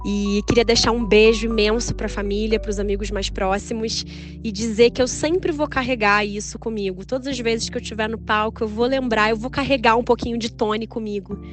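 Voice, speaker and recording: A woman from theater speaking an affectionate recorded tribute, stringing loving phrases together in a steady rhythm. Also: fairly high pitch, fairly quick. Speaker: theater artist sending a tribute message. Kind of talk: recorded audio message, personal remembrance. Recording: voice message with faint music underneath.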